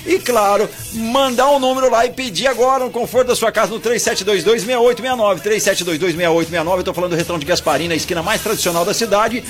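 A man with a high, quick voice that rattles through his words. He talks like a radio co-host, selling a restaurant in an upbeat, salesman-like rush. Soft music plays underneath. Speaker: radio sports show co-host. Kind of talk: fast radio chat with live ad read.

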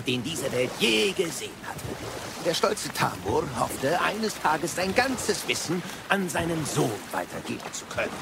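Lively, creaky-voiced old sage. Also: male voice, medium pitch, very noisy. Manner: chatty, wry, theatrical storytelling. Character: talkative, cheeky old male sage and storyteller. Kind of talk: dramatised audio play acting. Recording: loud music under the voice.

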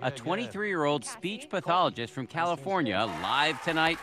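A man's voice: a little high, lively intonation, normal speed, very noisy. An American man reading scripted TV news narration in a dramatic headline style. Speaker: TV news reporter. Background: clear music bed.

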